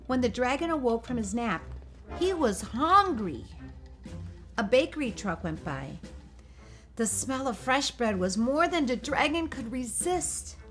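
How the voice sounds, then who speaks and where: Woman reading a children's storybook over music. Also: on the high side. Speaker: storyteller reading a picture book aloud. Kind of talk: expressive children's storybook narration.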